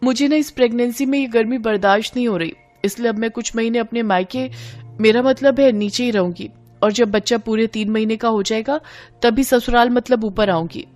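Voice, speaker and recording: A woman's voice: a little high, a little fast, a little mellow, faint music behind, close to an everyday voice. A woman voicing a spoiled, blunt young married woman with a breezy, pert manner in an audio story. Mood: complaining.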